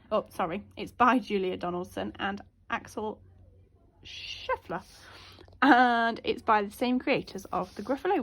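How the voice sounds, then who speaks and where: A woman teacher reading a children's storybook aloud in an expressive, child-directed way. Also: medium pitch, creaky, slightly rough. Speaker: primary school teacher.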